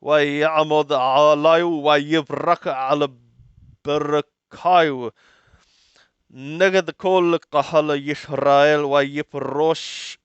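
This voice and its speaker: Guy reading and translating scripture, halting and deliberate, smooth clean voice, very dry sound. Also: a little high, no echo, dull audio. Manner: deliberate, halting, repeats and rephrases phrases.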